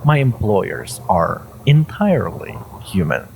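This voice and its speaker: A low, unhurried male monster played by a man interrogates the listener in an audio roleplay. He is gravelly and theatrical, toying with his prey in a mocking, menacing way. A faint layer of noise sits behind the voice. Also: big pitch swings, darkish tone, exaggerated voice, poor audio. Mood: musing, sinister. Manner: theatrical, taunting, drawn-out phrasing with pauses and whispers.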